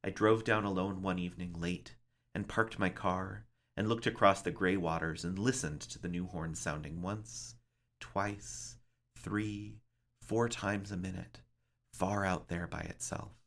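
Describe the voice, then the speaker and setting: Guy reading a somber story out loud, lowish voice, bright n tense, really dramatic and deliberate. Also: steady tone, vocal fry. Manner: theatrical, somber, deliberate phrasing. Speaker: librarian reading aloud.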